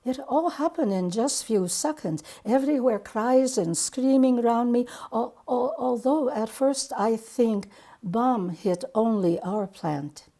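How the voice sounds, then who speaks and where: Woman acting a slow, haunted Japanese survivor. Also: on the high side, warm tone. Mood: haunted, distressed. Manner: halting, broken English with dropped articles. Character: grave, haunted older Japanese woman, atomic bomb survivor. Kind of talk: dramatic monologue reading.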